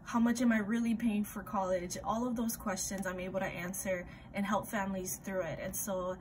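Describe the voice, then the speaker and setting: A woman's voice: mid-range voice, little pitch movement, moderate pace. Friendly young woman with noisy background. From Ecuador. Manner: friendly, explanatory, uses "um" and "like". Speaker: admissions recruitment coordinator. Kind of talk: prepared self-introduction. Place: home room with ivy wall. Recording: video call with audible background noise.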